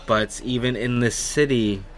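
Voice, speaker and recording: Man playing a blunt, slow-spoken giant tundra warrior. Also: mid-range voice, fairly even intonation, lightly acted. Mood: uneasy, reflective. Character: huge Goliath man from the tundra, blunt, wary.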